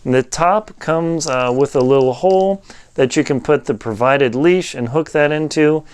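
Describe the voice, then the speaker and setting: Clear-voiced man giving casual product review. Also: a little high, unhurried, a little mellow.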